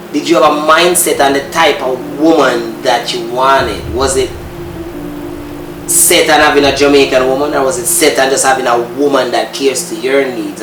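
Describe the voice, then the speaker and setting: A man hosting an interview, asking long questions, repeating himself and laughing. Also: medium pitch. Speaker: host. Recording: echoey room, distant mic, music.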